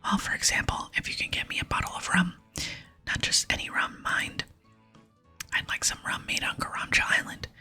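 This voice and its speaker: A woman's voice: normal speed, bright, forceful tone. A woman voicing a wheedling, rum-loving old male pirate from a game in a lilting whisper. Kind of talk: whispered reading of game dialogue. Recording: close whisper with music behind.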